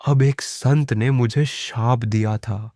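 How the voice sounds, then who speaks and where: A man's voice: medium pitch, fairly even intonation, slow pace, mellow, dry recording, studio quality, lightly acted. A man voicing a hapless, cheeky village priest in a cartoon, with a theatrical, pleading manner. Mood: worried, pleading.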